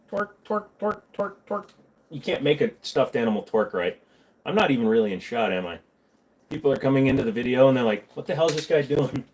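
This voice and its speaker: A man's voice: on the low side, a little mellow, rough recording. A man hosting a casual livestream, answering viewer questions with crude, rambling jokes.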